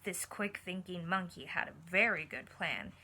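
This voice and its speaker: A woman's voice: lowish voice, normal speed, bright, forceful tone, slightly rough. A woman reading a picture book aloud like a teacher, stopping to put questions directly to her young listeners. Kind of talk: animated read-aloud with asides to young listeners.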